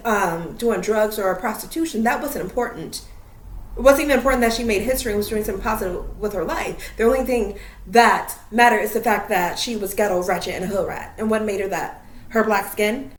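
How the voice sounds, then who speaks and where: A woman's voice: medium pitch, a little fast. A woman from Minnesota giving a blunt, opinionated vlog rant, swearing casually. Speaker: vlogger. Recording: roomy echo.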